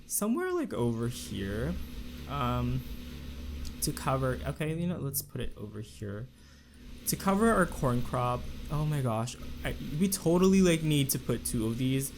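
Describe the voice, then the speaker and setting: A man hosting a casual let's play, talking through his choices with a slightly raspy voice and lots of fillers and restarts. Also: mid-range voice. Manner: chatty, thinks aloud with fillers and restarts. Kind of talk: casual gameplay commentary.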